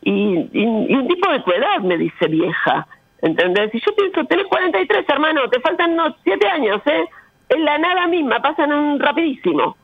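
A woman's voice: sits high, big pitch swings, normal speed, dry recording. Frank Argentine woman, slightly dull sound. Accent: Argentine Spanish. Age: 50s. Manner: frank, emphatic, talking with rhetorical questions. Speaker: interview guest speaking on sexuality. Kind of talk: candid conversational interview.

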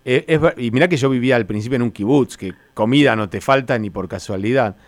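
A man's voice: mid-range voice, quick pace, no echo. A man with a Rioplatense Spanish accent chatting casually, joking and rambling as he explains things. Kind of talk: casual comic banter.

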